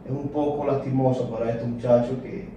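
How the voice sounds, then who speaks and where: A man with a Dominican accent, hosting a casual sports talk show, who speaks in emphatic, drawn-out phrases. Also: mid-range voice, little pitch movement, warm tone, a little hiss or hum. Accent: Dominican Spanish. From Dominican Republic. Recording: echoey room picked up from a distance.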